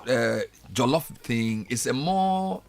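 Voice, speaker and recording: A man with a Ghanaian accent co-hosting a casual studio chat, animated and repeating himself as he explains. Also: medium pitch, slow pace, gravelly. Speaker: show co-host.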